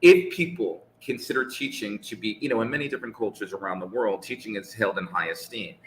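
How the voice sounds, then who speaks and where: A man speaking in a casual live conversation, measured and emphatic, making points with rhetorical questions. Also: fairly low pitch, fairly bright tone, echoey room, low-quality recording.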